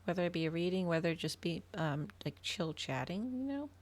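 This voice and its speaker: A woman livestreamer chatting casually in a soft ASMR style, often dropping toward a whisper. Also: on the low side, animated, brisk. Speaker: livestreamer hosting an ASMR reading stream.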